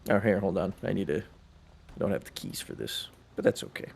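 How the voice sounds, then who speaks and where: A man's voice: low voice, big pitch swings, normal speed, gravelly, a little mellow. A man chatting casually and swearing now and then while playing a role-play game online. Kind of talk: casual gaming chat during role-play.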